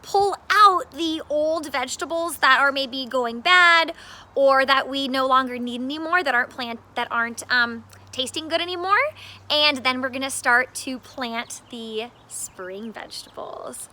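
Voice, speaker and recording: A woman's voice: high voice, a little slow, pushed and bright. A woman teacher giving children an upbeat read-aloud and gardening demonstration in simple terms.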